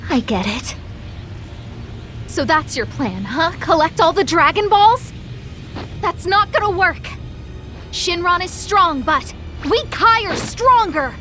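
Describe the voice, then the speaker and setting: A cocky little goddess from an anime game, pitched extremely high. Her delivery is perky and exclamatory, bouncing up and down while she stretches out her words. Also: sing-song, slow pace, long pauses, over music, heavily acted. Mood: cocky, defiant. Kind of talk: dubbed anime video game acting.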